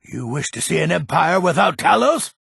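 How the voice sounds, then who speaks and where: Grumpy old fantasy game man, extremely husky rasp, widely swinging pitch, theatrical, defiant, gruff. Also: sing-song, moderate pace, a bit bright, no echo, clean studio sound, full character voice. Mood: stern, resentful. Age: older. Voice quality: gravelly, raspy. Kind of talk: fantasy video game character acting.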